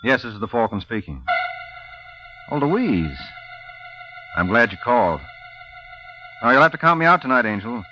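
An actor playing a suave, flirtatious, wry private detective in a 1950s radio crime drama. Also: male voice, medium pitch, sing-song, normal speed, pauses often, close to an everyday voice. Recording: muffled 1950s radio recording. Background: loud music.

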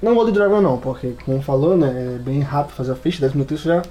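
A man's voice: mid-range voice, rapid-fire, dark tone. A man with a Brazilian accent chatting casually as a podcast panelist, slangy and hesitant, in short phrases. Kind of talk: casual chat.

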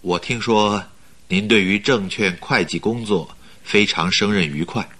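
An actor in a Mandarin radio drama playing a polite, flattering company recruiter who talks in a measured, persuasive way. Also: male voice, on the low side, husky. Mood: courteous, flattering. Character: smooth-talking male company recruiter, flattering, persuasive schemer.

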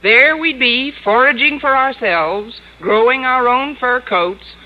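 A woman playing a wry, sardonic schoolteacher with a dry deadpan delivery in radio sitcom style. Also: high voice, takes it slowly. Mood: wry despair. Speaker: lead actress and narrator. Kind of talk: 1940s-50s radio sitcom acting. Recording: muffled old radio recording.

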